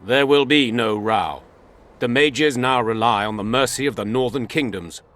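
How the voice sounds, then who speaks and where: An actor playing a stern, dignified royal constable in a fantasy game, speaking formally and with authority. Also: male voice, medium pitch, normal speed, a bit bright, lightly acted. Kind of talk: video game dialogue acting.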